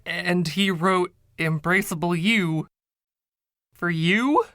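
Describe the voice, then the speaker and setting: A man's voice: high voice, slow, sharp tone, no echo, clean studio sound, voice pushed up, full character voice. A man voicing an exasperated, doubting pianist in a short story reading, questioning in an incredulous way. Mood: doubtful. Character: exasperated, doubting male pianist narrator named Andre.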